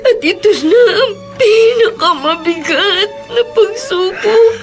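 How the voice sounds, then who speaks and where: A woman's voice: very high-pitched, slow, soft background music. An actress playing an ailing, tearful mother in a Filipino radio drama, speaking plaintively. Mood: tearful, resolute. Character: ailing, tearful mother home from working abroad.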